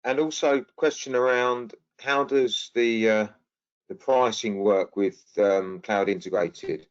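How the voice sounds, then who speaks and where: Male host, lowish voice, brief questions with fillers, muffled sound. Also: steady tone, takes it slowly, clean tone. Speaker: webinar host. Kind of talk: reading audience questions.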